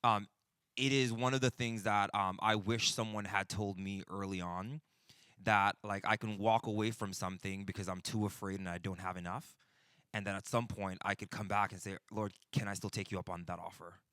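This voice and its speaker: A man's voice: lowish voice, fairly even intonation, normal speed, a bit bright, clean tone, no room sound, studio quality. A man in his forties giving an informal, conversational sermon as a pastor leading a teaching session, often checking in with his listeners. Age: 40s.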